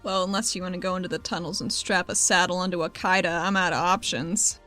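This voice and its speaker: A woman's voice: lowish voice, steady tone, fast talker, bright, forceful tone, soft background music. A woman voicing an earnest, pragmatic young female negotiator in a tabletop roleplaying game. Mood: exasperated.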